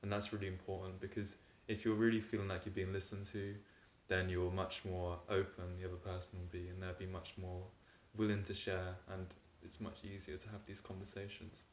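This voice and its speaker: A man calmly explaining relationship advice in a steady way, with many fillers. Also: low voice, fairly quick, few pauses, roomy sound, a little hiss or hum. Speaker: relationship coach. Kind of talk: advice talk to camera.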